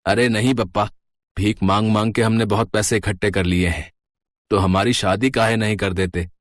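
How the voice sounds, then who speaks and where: A man's voice: on the low side, fairly even intonation, normal speed, lightly acted. A man voicing an impatient, cheeky young beggar eager to be a groom in a cartoon.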